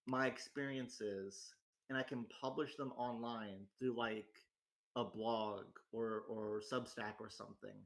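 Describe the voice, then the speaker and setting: A young man in his twenties hosts his own casual talk, rambling and self-deprecating. He keeps circling back and restarting his sentences with lots of fillers, and his voice sits at an ordinary middle pitch for a man. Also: pushed and bright, poor audio. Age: 20s. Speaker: host. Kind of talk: casual solo chat. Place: home in a rural area.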